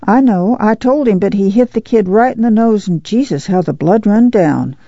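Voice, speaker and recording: A woman acts a pestering, eager little farm girl in an audiobook story. The delivery is insistent and childlike, in a mellow, slightly creaky voice. The recording is a bit dull. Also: mid-range voice, moderate pace, dry recording, lightly acted. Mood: tattling.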